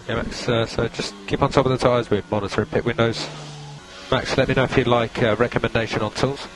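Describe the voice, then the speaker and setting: A calm male race engineer giving clipped, procedural instructions over team radio, repeating key points. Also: medium pitch, quick pace, music in the background. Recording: noisy radio link, engine noise.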